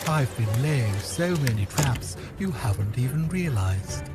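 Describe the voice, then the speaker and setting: A man plays a gloating outcast schemer in an audio drama. He speaks in a medium-pitched male voice, theatrical and taunting, full of bitter grandeur. Music plays clearly underneath. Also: lively intonation, measured pace, lightly acted.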